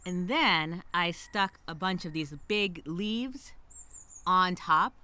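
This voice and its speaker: Woman acting a bright, anxious castaway, animated voice. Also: on the low side, takes it slowly, heavily acted. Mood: wry, resigned. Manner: rambling, nervous, self-questioning, sarcastic asides. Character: anxious stranded woman castaway journaling, nervously self-reassuring, wry. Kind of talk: first-person dramatic monologue as spoken journal.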